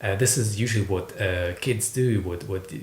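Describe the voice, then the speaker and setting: A man from Slovakia with a Slovak accent, talking casually to camera in a hesitant, rambling way, often repeating words. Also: moderate pace. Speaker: video host, record collector. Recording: roomy echo, noticeable low rumble noise.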